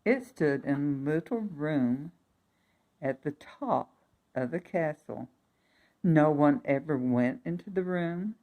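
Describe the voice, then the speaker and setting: A woman reading a fairy tale aloud for young children, expressive, with chatty asides and emphatic repetition. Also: deep voice, slow.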